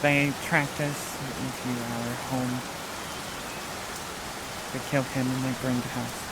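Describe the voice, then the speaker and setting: Man playing a slow, grave occultist, hissy audio. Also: medium pitch, level tone. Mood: grim, guarded. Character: grave occultist man recounting a grim persecuted past. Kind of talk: improvised in-character fantasy roleplay. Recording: loud hiss, rain-like noise.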